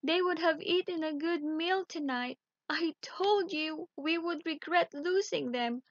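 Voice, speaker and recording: A woman's voice: very high voice, a little slow, dry recording, muffled recording, voice pushed up. A woman voicing a poor woodcutter's wife in a storybook, an emotional, loving mother. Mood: anguished, wailing. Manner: wailing, emotional.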